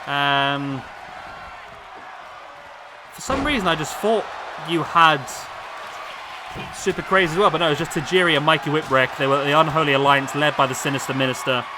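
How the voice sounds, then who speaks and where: A man commentating on a wrestling video game, losing his voice, chatty and excitable as he calls the action. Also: a little high, normal speed, pauses often. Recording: loud game crowd noise behind. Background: video game crowd and arena sound.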